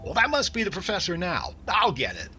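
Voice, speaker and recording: A man playing a vain, stingy, fussy middle-aged comedian and boss in a 1940s-style radio comedy, with drawn-out exclamations. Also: high-pitched, huge pitch swings, music in the background. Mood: bossy, stingy.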